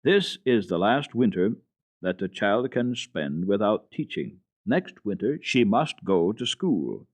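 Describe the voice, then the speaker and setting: Man reading as an earnest, animated village pastor. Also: fairly low pitch, slow, no room sound, exaggerated voice. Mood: stern, urging. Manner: earnest, hearty, preachy. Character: earnest village pastor, male, admonishing but friendly. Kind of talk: dramatic storybook reading.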